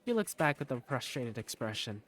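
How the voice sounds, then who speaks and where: A man, an amateur voice actor, narrating a visual novel fandub in the first person in an animated way. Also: mid-range voice, moderate pace.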